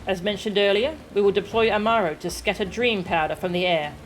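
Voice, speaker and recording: Military captain read by a woman, video game briefing, measured and commanding, medium pitch, faint music. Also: fairly even intonation, close to an everyday voice, low-quality recording. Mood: businesslike. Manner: measured, formal, authoritative wording.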